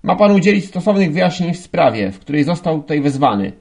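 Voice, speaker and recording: A furious police lieutenant from a classic novel audiobook, acted out by a male narrator. He is pompous and blustering, scolding indignantly at a raised pitch and high volume, with a creaky edge. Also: big pitch swings, fast, a little mellow, exaggerated voice. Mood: indignant, shouting. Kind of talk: audiobook character acting.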